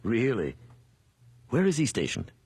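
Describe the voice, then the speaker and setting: An actor playing a courteous, shrewd and compassionate judge in a radio drama, speaking in a measured, thoughtful way. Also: male voice, mid-range voice, huge pitch swings, vocal fry, husky, full character voice. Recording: loud background ambience noise.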